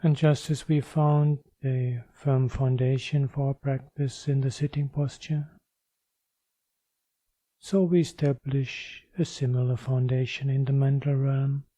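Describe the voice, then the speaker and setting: Male meditation guide, deeply mellow and relaxed tone, deliberate spaced phrasing, dry recording. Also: no echo, low-quality recording. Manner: measured, deliberate phrasing with pauses. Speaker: meditation teacher.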